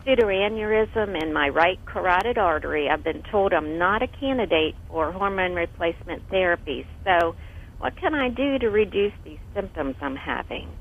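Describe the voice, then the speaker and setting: A woman's voice: fairly low pitch, lively intonation, normal speed. A woman in her forties calling a radio health show to ask a medical question. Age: 40s. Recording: muffled narrow telephone line.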